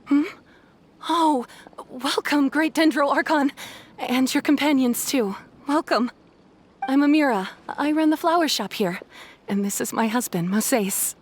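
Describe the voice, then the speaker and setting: A woman voicing a gentle, gracious flower shop wife in a video game, speaking in a polite, amiable way. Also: sits high, a little slow, slight background noise. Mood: welcoming. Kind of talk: scripted video game voice acting.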